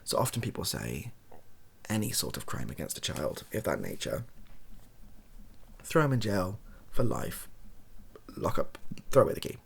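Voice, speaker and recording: A British man hosts a softly spoken, off-the-cuff monologue. His low voice is breathy and husky, yet it moves up and down in a lively way. He hesitates and corrects himself as he thinks aloud. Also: normal speed, long pauses. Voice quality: soft-spoken. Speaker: video host.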